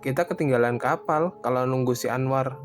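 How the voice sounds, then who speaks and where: A man's voice: medium pitch, normal speed, lightly acted. Guy doing curious agreeable young worker in a cartoon, everyday talk, music behind. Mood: curious, concerned. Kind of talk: animated horror story dialogue.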